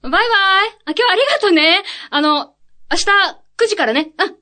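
A woman's voice: extremely high pitch, expressive, fast, bright, forceful tone. A young woman chatting casually and politely as a trainee voice actress guest. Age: young adult. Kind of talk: casual polite studio chat.

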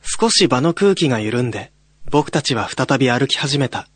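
A man's voice: mid-range voice, animated, normal speed, fairly bright tone, no echo. A man playing a young male college student who tells a ghost story in a radio drama. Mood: somber, reflective. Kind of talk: radio drama narration with acted dialogue.

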